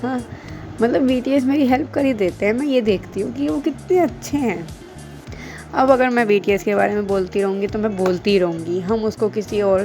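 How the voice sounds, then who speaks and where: A woman's voice: medium pitch, vocal fry. A woman in her twenties chatting casually to her viewers in a self-deprecating way. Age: 20s. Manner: chatty, mixing English phrases, self-deprecating. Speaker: vlogger and school teacher. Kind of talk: casual Hinglish chat to camera.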